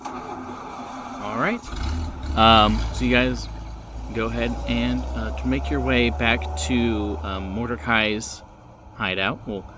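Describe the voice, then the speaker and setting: A man's voice: medium pitch, fairly even intonation, takes it slowly, long pauses, background noise. A man improvising narration as game master of a tabletop game, feeling his way through scenes in fragments. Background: music.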